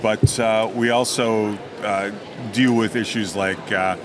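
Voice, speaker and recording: Middle-aged man, halting, over crowd noise. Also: on the low side, fairly even intonation. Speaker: podcast guest, audio drama writer and director. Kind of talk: casual interview answers. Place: convention booth, live recording. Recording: noisy convention crowd background. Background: crowd ambience.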